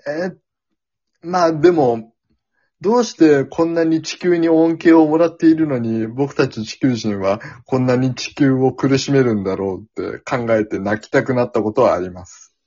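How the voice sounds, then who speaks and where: A man's voice: mid-range voice, normal speed, mellow, slightly rough. A young man co-hosting a casual podcast, agreeable and giggly, reacting in repeated short phrases. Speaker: podcast co-host. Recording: dull lo-fi audio.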